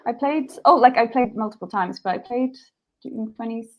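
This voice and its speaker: A woman's voice: fairly high pitch, normal speed, highs cut off. A young woman from Sweden, a singer-songwriter talking as a guest in a casual interview, chatty and full of restarts. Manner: chatty, many likes and restarts.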